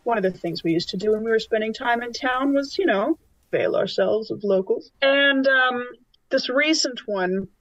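A woman's voice: on the high side, moderate pace, a bit bright, no echo. Dry, muffled woman at a game table. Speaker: tabletop roleplaying player. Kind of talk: casual in-game description and table chat.

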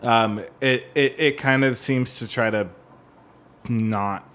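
A man co-hosting a podcast, talking casually about music in a halting way as he searches for words. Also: mid-range voice, fairly even intonation, fairly bright tone. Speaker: podcast co-host. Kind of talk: casual music discussion with some theory.

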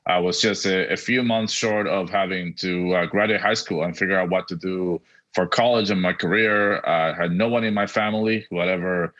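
A middle-aged man from Argentina, a native Spanish speaker, giving earnest, halting interview answers over dull, lo-fi audio. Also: sits low, fairly even intonation, a bit bright. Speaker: podcast guest, education technology executive.

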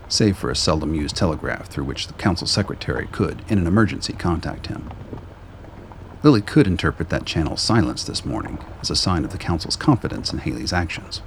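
A man with a very deep, gravelly voice reading scripted dramatic narration for a radio play. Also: slight background noise.

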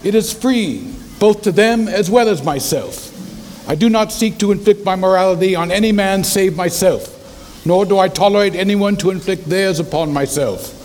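Aloof gentleman lawyer, played by a man: high male voice, dry formal delivery, long reverb. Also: normal speed, lightly acted. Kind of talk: period audio drama dialogue.

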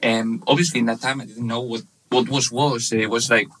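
Man in his thirties, Spanish accent, bright tone, halting, lots of fillers, casual interview guest. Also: medium pitch, fairly even intonation, slight background noise, low-quality recording. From Spain. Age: 30s. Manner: halting, searching for words with many fillers. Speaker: guest, webshop manager.

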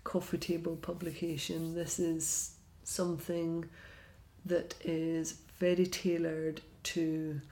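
A woman hosts a podcast in an eager, chatty way, laughing easily as she talks. Her voice sits a little low for a woman. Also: completely flat delivery, slow, warm tone, rough recording. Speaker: podcast host. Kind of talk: casual podcast chat and interview.

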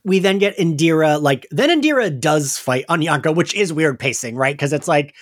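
A man's voice: fairly high pitch, lively intonation, normal speed. A man co-hosting a podcast, chatting casually and animatedly as he recaps a story and acts out little quotes. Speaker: podcast co-host.

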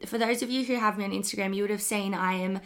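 An Australian woman hosting a health podcast, enthusiastic and gushing, her voice a bit croaky from an early start. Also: medium pitch, steady tone, fast. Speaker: podcast host, health teacher. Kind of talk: upbeat podcast interview.

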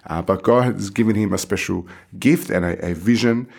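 A man hosting a podcast, relaxed and conversational, with many fillers as he asks questions. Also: lowish voice, normal speed, vocal fry, breathy edge. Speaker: podcast host. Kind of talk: casual interview hosting.

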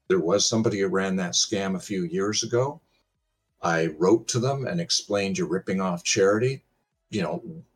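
Low husky older man, rambling and wry. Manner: rambling, self-deprecating, wry humor. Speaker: pitching guest on a game panel show. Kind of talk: casual chat.

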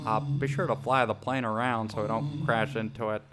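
A man's voice: on the low side, steady tone, brisk, noisy background, lightly acted. A man voicing a casual, easygoing airplane pilot in a radio drama skit, talking in a hesitant, offhand way.

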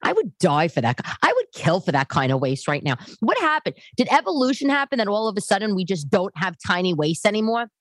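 A woman in her late thirties hosting a podcast, talking casually and bluntly to her listeners in an animated, conversational way. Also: mid-range voice, brisk, few pauses, dry recording, clean studio sound. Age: late 30s. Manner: animated, conversational, acts out others' remarks. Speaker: podcast host. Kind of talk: casual, chatty monologue to listeners.

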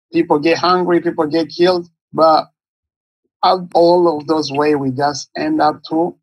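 A man from Congo, in his thirties, with a slightly husky, raised voice. He speaks haltingly, searching for words as he recounts his story in an interview. The recording is dry and close. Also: on the high side, dry recording, rough recording. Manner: halting, searching for words, non-native English phrasing. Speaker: guest, nonprofit founder.

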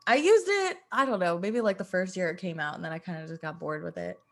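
A woman's voice: on the low side, animated, fast, gravelly. Woman bantering casually on a podcast.

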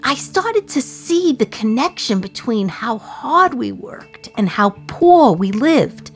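A woman's voice: a little high, big pitch swings, slow, heavily acted. Passionate working-class woman prisoner, music behind. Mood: proud, reflective. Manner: conversational, colloquial, emphatic storytelling. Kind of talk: dramatic radio play monologue.

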